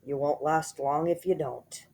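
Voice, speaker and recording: A woman's voice: deep voice, steady tone, a little slow, a little mellow, slight background noise. A woman voicing a nasty old man who sneers and taunts his wife. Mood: mock-solemn taunting. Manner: sneering, teasing.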